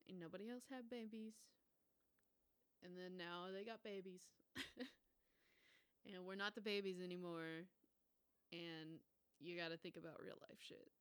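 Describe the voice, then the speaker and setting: A woman's voice: on the low side, fairly even intonation, unhurried, long pauses, fairly bright tone, clear, resonant tone. A woman in her twenties hosting a casual solo podcast, rambling and swearing freely with lots of fillers. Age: 20s. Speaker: podcast host.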